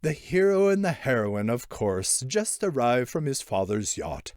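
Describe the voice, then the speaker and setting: Expressive male storyteller, very dry recording. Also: medium pitch, measured pace, no echo. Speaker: audiobook narrator. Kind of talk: expressive scripted narration of a literary short story.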